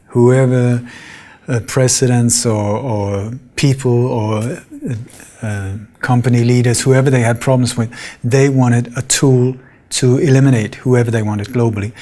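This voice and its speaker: Man, from Denmark, middle-aged, mellow low-toned voice, earnest, hesitant delivery. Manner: earnest, hesitant with frequent fillers. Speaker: conference speaker. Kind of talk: conference talk.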